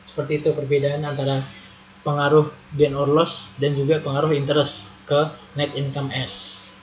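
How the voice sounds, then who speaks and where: A young man with a fairly high voice teaches in a casual tutorial style. He goes step by step, asking questions and answering them himself, with fairly even intonation. The recording is slightly dull, with faint noise behind him. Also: normal speed. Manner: step-by-step, conversational, rhetorical questions. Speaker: accounting tutor. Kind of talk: casual explanatory video lesson. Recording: muffled lo-fi with faint background noise.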